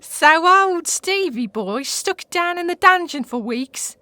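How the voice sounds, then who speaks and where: A woman plays a mocking British lady of the house in a radio sitcom. She is slangy and brash, speaking in a high voice at an unhurried pace. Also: expressive, sharp tone, no room sound, heavily acted. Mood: amused mockery. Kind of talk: comic radio sitcom acting.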